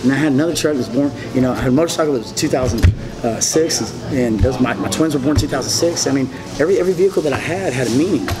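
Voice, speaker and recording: A man's voice: medium pitch, racing, over music, poor audio. A man in his forties telling his story as an interview guest, rambling, emphatic and repetitive, gesturing broadly as he talks. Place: indoor restaurant booth.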